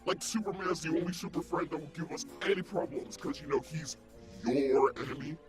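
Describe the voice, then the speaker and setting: A man playing a hot-headed, whiny supervillain in a comedic radio play, slangy, mocking and excitable. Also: a little high, brisk, faint music behind, close to an everyday voice. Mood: sulky, mocking.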